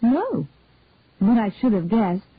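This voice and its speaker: A woman voicing a worried middle-aged mother who asks soft, probing questions. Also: medium pitch, sing-song, takes it slowly, breathy edge, dry recording, full character voice. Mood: uneasy. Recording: narrow phone-like dry sound.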